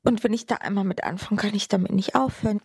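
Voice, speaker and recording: A woman's voice: mid-range voice, fast talker, creaky, a little mellow, husky, no room sound. A woman making a casual, confiding personal remark.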